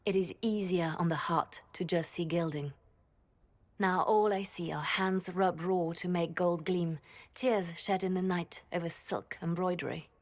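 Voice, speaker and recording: A high priestess with a spymaster's composure for a fantasy game. Her voice sits fairly low, and she speaks with gentle, compassionate care in measured phrases. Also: fairly even intonation. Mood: wistful. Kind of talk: video game character acting.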